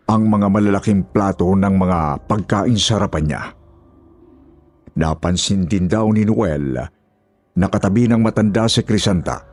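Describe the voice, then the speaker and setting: A man's voice: low-pitched, darkish tone, slightly rough. A man narrating a scripted horror story as the storyteller.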